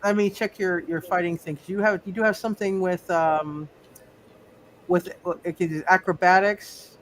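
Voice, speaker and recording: A man refereeing a casual tabletop roleplay, halting and often repeating his words and phrases. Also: high voice, steady tone. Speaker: game master of a live superhero tabletop roleplay. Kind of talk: casual, improvised game refereeing.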